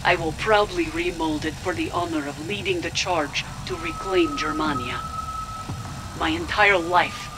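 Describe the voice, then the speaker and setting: An actress playing a proud, fanatical military villainess and devoted daughter in a game audio log, speaking with deliberate, theatrical intensity. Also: female voice, low voice, noisy background. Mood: fanatical, resolute. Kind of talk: dramatic video game audio log acting.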